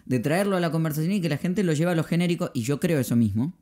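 Argentine guy hosting a laid back podcast chat, soft warm voice, close mic. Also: a little high, expressive, very fast. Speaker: podcast host, pastor. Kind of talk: casual podcast conversation. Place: small indoor room. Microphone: podcast microphone on arm, close.

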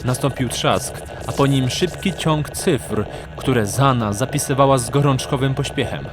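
A man narrating a fantasy audio drama in a measured, suspenseful storytelling manner. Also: medium pitch. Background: music.

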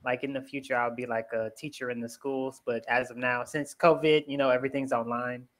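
A young man from the United States working as an online English tutor, talking in an easygoing way and gently offering corrections over a headset. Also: mid-range voice, brisk. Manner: relaxed, easygoing, helpful with corrections. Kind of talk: casual video-call conversation. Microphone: headset on video call.

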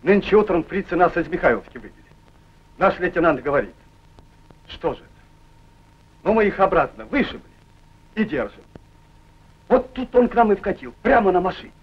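A plain-spoken Soviet scout telling his tale in a folksy way. The voice is husky and a little high, heard on a muffled 1940s film track. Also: expressive, brisk, long pauses. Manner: folksy storytelling. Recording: dull old film soundtrack.

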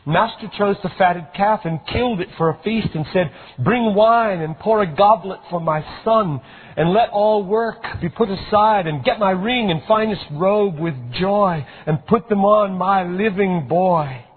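Gushing overjoyed servant as a man puts him on, high and breathless, husky edge, tinny phone-like sound. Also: extremely slow, few pauses, big-room sound, exaggerated voice. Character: excited male servant bursting with good news.

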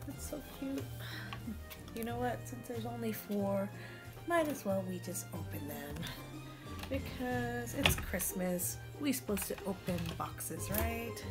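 A woman's voice: fairly high pitch, gravelly. A young woman unboxing toys in a living room, gushing and cooing over them, her voice strained as she is losing it. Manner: gushing, coos over toys, whispers at times. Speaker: unboxing vlogger. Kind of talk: casual unboxing chat.

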